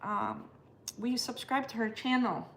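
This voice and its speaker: A woman from the United States co-hosting a relaxed live chat and reading viewer comments aloud. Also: on the high side, unhurried. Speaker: co-host.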